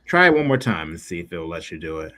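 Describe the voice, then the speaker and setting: A man's voice: low voice, very animated, fast, sharp tone. A man hosting a casual livestream interview, talking in a rambling, encouraging way and often searching for words. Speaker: livestream show host.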